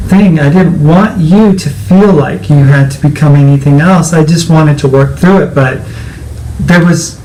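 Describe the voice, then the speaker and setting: A man's voice: on the high side, measured pace, dark tone, poor audio. A man co-hosting a personal conversation, talking reflectively and often searching for his words. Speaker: co-host.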